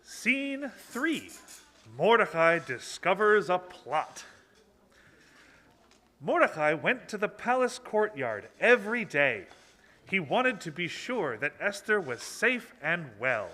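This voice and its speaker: Animated man narrating a children's story. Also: high voice. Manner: theatrical, simple measured phrases. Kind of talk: children's puppet show narration. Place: social hall, live broadcast.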